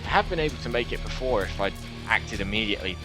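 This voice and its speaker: A man reading a game's story aloud in the first person, with an expressive storytelling delivery. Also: fairly low pitch, fast talker, music in the background. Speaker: video game let's player reading a visual novel aloud. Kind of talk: dramatic first-person narration of game text with casual asides.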